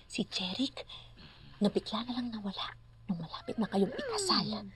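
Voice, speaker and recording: A woman's voice: medium pitch, big pitch swings, moderate pace, a crowd in the background, natural voice. A woman playing an earnest best friend in a comedy film, urgently coaxing and persuading. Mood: hushed, intense. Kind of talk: naturalistic comedy film acting.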